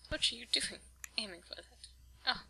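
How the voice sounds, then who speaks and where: Bright, gravelly-edged young woman gamer. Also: on the high side, lively intonation, a little fast, slight background noise. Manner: giggly, whispery asides. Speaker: gamer co-host. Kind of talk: casual gaming chat.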